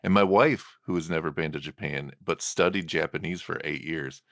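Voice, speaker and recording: Brief: male podcast host, very low pitch, dry close sound, easygoing conversational manner. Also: moderate pace, no echo. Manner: relaxed, conversational, uses "like" as a filler.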